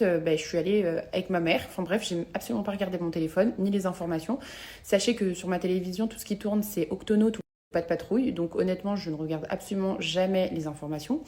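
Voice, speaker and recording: A woman's voice: fairly low pitch, dark tone. A young adult woman, talking casually and confessionally to her followers in slangy, emphatic speech, repeating words for stress. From Algerian family. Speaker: social media vlogger.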